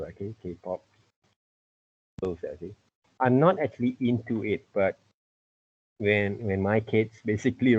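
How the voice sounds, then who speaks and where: A middle-aged man with a husky voice teaching an informal online lesson, repeatedly checking with "okay" and "right". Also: lowish voice. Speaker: teacher, art and character design tutor. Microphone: computer mic on a video call. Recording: muffled video-call audio.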